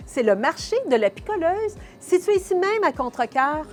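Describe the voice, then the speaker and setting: A woman's voice: extremely high pitch, very animated, moderate pace, faint music behind. A woman with a Quebec French accent hosting a local TV magazine, speaking in a polished, enthusiastic presenter style. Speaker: TV host and interviewer.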